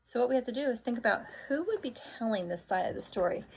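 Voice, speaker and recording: A woman's voice: on the high side, expressive, fast, vocal fry, a little mellow, a little hiss or hum. A woman speaking as a teacher to children, explaining a story and posing questions in asides. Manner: explains and poses questions to young listeners. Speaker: teacher reading aloud to children. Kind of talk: read-aloud lesson with explanatory asides.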